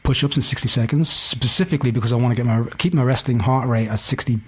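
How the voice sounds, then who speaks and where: Husky Belfast man chatting candidly. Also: medium pitch, fast. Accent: Belfast Northern Irish. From West Belfast, Northern Ireland. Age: 40s. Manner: frequent "you know" tags, candid. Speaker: podcast guest, hip-hop DJ. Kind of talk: casual conversational storytelling.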